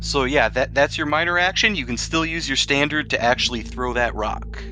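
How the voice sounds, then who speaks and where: Bright-voiced man running a tabletop game. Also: medium pitch, moderate pace, faint music behind. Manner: explanatory, narrating scenes and rules. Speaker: game master.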